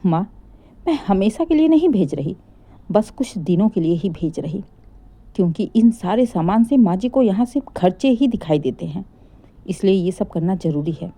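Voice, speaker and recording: A woman voicing a polite but fed-up young daughter-in-law with a calm, resolved manner in a family story. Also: medium pitch, normal speed.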